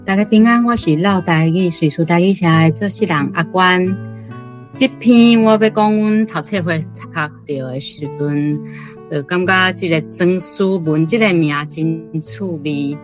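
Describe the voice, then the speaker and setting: A woman's voice: lowish voice, a little slow, over music. A woman with a Taiwanese accent, a reading club host giving informal, reflective commentary.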